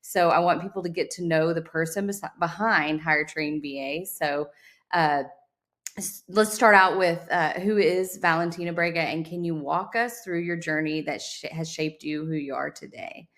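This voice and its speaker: Low-voiced woman hosting a podcast. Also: normal speed, a bit bright. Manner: enthusiastic, with many fillers and restarts. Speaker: podcast host. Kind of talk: casual interview chat.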